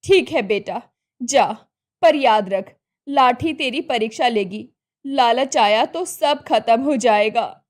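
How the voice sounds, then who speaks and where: Woman voicing a caring, cautioning village mother, high-pitched. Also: slow. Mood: solemn warning. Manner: gentle, cautioning, solemn. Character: wise, caring old village mother giving warnings. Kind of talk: Hindi moral cartoon story acting.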